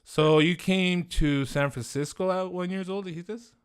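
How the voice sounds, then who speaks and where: A man's voice: high voice, moderate pace, smooth. Bay guy hosting his podcast, rambly and jokey, switches spanish all the time. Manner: joking, rambling, mixes Spanish and English. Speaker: podcast host. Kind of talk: casual podcast interview.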